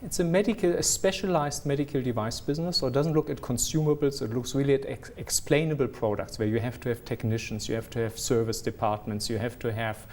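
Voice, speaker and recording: A man's voice: mid-range voice, quick pace, few pauses. A middle-aged man with a non-South African accent, a company executive answering business interview questions earnestly, often restarting as he searches for words.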